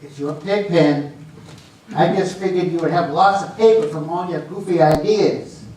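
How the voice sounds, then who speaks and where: A man's voice: fairly high pitch, normal speed, a little mellow, natural voice. A man playing a cantankerous, sarcastic janitor with a dry, needling delivery on stage. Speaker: amateur stage actor. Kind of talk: readers theater stage performance. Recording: echoey hall, distant mic, faint noise.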